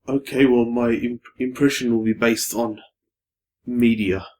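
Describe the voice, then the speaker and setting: A man's voice: mid-range voice, fairly even intonation, measured pace. A young man, a guest in a casual podcast discussion, speaking thoughtfully and hesitantly, often restarting words. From Australia. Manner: thoughtful, hesitant, with restarts, trailing off to a quiet finish.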